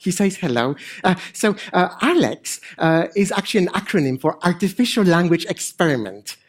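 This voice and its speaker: Male conference speaker, middle-aged, French accent with a Polish hint, slightly high voice, informal, hesitant delivery with dry humour. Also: very animated, moderate pace. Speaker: conference speaker, AI researcher and improviser. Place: on stage at a conference.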